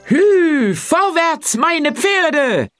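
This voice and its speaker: Small poor peasant man, high lively voice, slow delivery, eager, meek, fairy-tale audio play, acted out by a performer. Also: soft background music, full character voice. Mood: exuberant. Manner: exclaiming, overeager, submissive when scolded.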